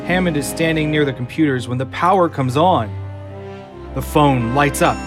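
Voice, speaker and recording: A man reading stage directions as narrator of a fan audio drama in an even, descriptive way. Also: fairly high pitch, normal speed, over music.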